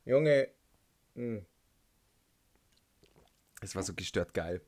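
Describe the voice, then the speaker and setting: A young man co-hosting a casual podcast chat in German, halting and restarting often and laughing easily. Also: lowish voice, lively intonation, darkish tone, no echo. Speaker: podcast co-host.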